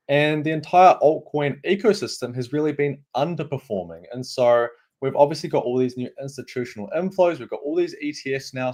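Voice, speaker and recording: A young man from New Zealand with a New Zealand accent, a guest explaining markets in a relaxed, upbeat way. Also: medium pitch. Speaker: guest analyst. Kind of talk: casual explanatory interview.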